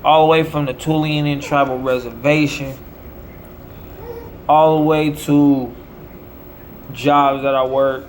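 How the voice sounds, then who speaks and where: A man's voice: a little high, little pitch movement, slow pace, pauses often, slight background noise. An American man delivering a rambling monologue in short, declamatory, preacher-like phrases. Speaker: self-promoting musician.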